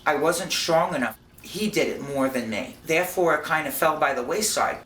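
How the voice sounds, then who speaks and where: A woman's voice: low-pitched, moderate pace, a bit bright. An older woman, a mother, speaks plainly and a little rambling in a documentary interview. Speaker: mother interviewed by her son. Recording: echoey room, distant mic, faint noise.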